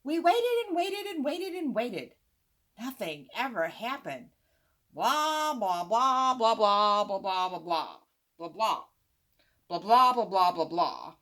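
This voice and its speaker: A woman voicing a candid, exasperated young boy who dislikes reading in a children's storybook, with exaggerated, drawn-out delivery. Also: on the high side, big pitch swings, fairly bright tone, full character voice. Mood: bored, exasperated. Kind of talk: storybook character narration.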